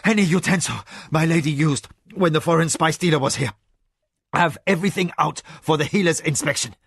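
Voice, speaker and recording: A man's voice: medium pitch, expressive, unhurried, fairly bright tone, breathy edge, no echo, clean studio sound. A man voicing a young male nobleman, urgent and impassioned, who gives orders to his household. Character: young male noble, desperate, commanding, anguished.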